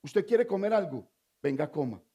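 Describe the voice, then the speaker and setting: A man from Colombia with a Colombian Spanish accent, preaching a sermon as pastor, quizzing the congregation and swinging between loud emphatic lines and low confiding asides. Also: very animated, moderate pace, slightly rough. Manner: call-and-response preaching, asks the congregation to fill in words.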